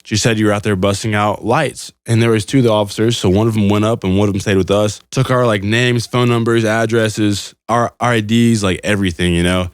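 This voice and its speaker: Young man, slightly low, dry-sounding casual chat. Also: fairly even intonation, fairly quick, few pauses, clean tone, no echo. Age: young adult. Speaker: podcast co-host.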